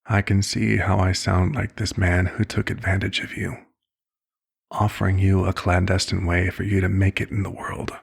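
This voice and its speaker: A man playing an old, compassionate vampire doctor in an ASMR roleplay, with a husky, gravelly voice and a measured, slightly formal, paternal manner. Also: sits low, little pitch movement, full character voice. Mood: earnest, soothing.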